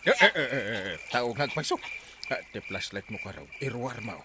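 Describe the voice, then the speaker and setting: A man playing an excitable, scolding but forgiving village captain in an audio drama, with an Ilocano accent. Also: medium pitch, brisk, close to an everyday voice. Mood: hushed, wary. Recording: very noisy track with background ambience.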